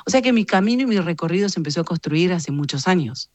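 A woman in her fifties with an Argentine Rioplatense accent and a fairly low voice. She gives reflective, conversational interview answers, explaining her points with emphasis and often checking in with the listener. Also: lively intonation, quick pace. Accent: Argentine Rioplatense Spanish. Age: 50s. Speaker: interview guest, journalist and communications consultant.

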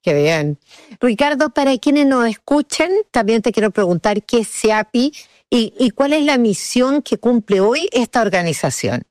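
A woman's voice: fairly high pitch, very animated, normal speed, darkish tone, no room sound, clean studio sound. A woman with a Chilean accent, hosting an interview with questions and brief acknowledgements. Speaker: interviewer, host.

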